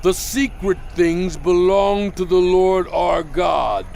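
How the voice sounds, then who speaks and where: A man playing a stern, fervent prophet leader who warns his people, declaiming with weighty pauses in a dramatized scripture reading. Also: high voice, creaky, a little mellow, exaggerated voice. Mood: earnest, exhorting. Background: music bed.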